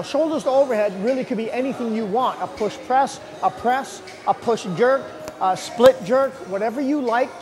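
A man in his forties, a gym coach, giving energetic, encouraging workout instructions to the camera in a large gym on a lapel mic. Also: very high-pitched. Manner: energetic, encouraging, loose and unscripted. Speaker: gym owner and fitness coach.

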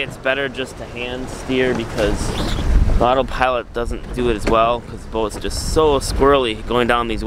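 A middle-aged man filming himself on a sailboat and a marina dock, talking in a relaxed, rambling way as he thinks aloud. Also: medium pitch. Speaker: solo sailor vlogger. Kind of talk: casual self-filmed vlog. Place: outdoors on a sailboat and a marina dock in strong wind. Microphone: handheld camera close to the face. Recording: wind noise on a camera mic. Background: howling wind and water.

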